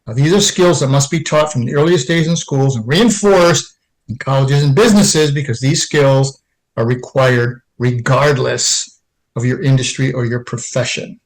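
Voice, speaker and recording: A man's voice: mid-range voice, normal speed, rough recording. Podcast host, man, mid-range voice; friendly, upbeat interviewer. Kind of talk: scripted introduction and interview.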